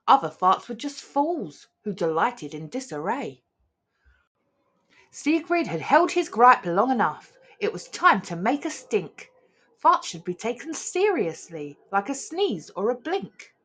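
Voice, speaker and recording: Woman reading a children's storybook, very expressively. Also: mid-range voice. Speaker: storybook reader. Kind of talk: animated children's read-aloud in rhyme.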